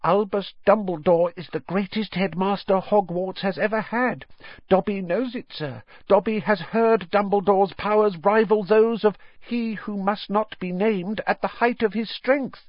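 A man voicing a timid, servile male house-elf in a squeaky, breathy voice, pleading and fawning. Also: measured pace, dry recording, lo-fi sound. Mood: reverent. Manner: fawning, calls the listener sir, pleading. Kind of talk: audiobook character voice.

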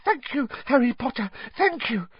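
Storybook house-elf, male played by a woman: tiny, nervous, eager to please, high squeak with big melodic swings. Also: sing-song, normal speed, slightly rough, no echo, lo-fi sound. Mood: defiant, grateful. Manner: servile, broken grammar, refers to himself by name. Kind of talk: audiobook character voice.

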